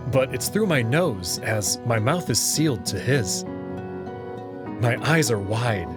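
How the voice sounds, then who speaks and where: A man giving a dramatic first-person narration of a visual novel story. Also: mid-range voice. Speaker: narrator and reader.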